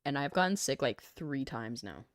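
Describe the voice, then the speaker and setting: A woman streamer with a cold, her throat dry and husky, chatting in a rambling, self-deprecating way with her viewers. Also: a bass voice, animated, moderate pace, no echo. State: hoarse from a cold. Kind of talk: casual chat with stream viewers.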